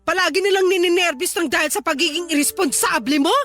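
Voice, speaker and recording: A woman's voice: very high voice, fast talker, a bit bright. A woman playing an exasperated, sarcastic young working mother who scolds her partner in a Tagalog radio drama. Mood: angry, exasperated. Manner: nagging, sarcastic. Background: background music.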